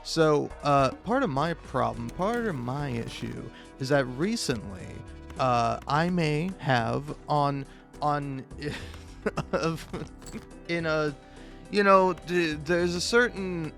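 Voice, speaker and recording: Higher-voiced man rambling haltingly over music. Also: lively intonation, gravelly, a bit bright. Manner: profane, halting, self-interrupting with stammered repeats; chuckles often while talking. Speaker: host of a comedic video. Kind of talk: rambling casual comedic monologue.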